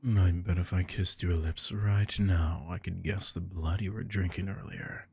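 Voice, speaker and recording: A man voicing a predatory, dominant vampire lord in an ASMR audio roleplay, taunting slowly and often whispering close to the microphone. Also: fairly even intonation, darkish tone, no echo, heavily acted. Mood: mocking. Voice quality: deep, gravelly, breathy.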